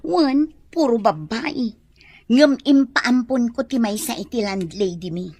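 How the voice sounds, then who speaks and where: A woman's voice: fairly high pitch, a little slow, darkish tone, slightly rough, dry recording. A woman playing a hard-pressed, defiant Filipino mother in a radio drama, defensive and complaining.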